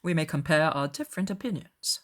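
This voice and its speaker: Woman playing a stiff, reserved young gentleman. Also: low-pitched. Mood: reserved, serious. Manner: constrained, formal, measured. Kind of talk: dramatized audiobook acting.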